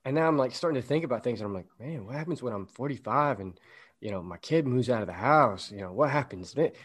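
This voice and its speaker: A man's voice: mid-range voice, expressive, quick pace, husky. A man in his late twenties, co-hosting a podcast, talking earnestly and casually, often repeating words and swearing now and then. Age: 20s. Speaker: podcast co-host. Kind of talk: casual chat.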